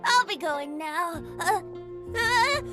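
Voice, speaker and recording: A woman's voice: very high voice, lively intonation, slow pace, loud music behind the voice, heavily acted. A woman voicing a cheerful, playful young male bard with a flowery, theatrical way of speaking. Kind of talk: video game voice acting.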